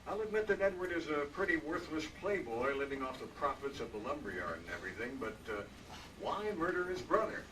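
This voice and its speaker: A man playing a folksy, skeptical police lieutenant who speaks haltingly and deliberately in a live old-time radio drama, a little off the mic. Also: a little high, expressive, recorded from a distance, noisy background, lightly acted. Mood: surprised, skeptical.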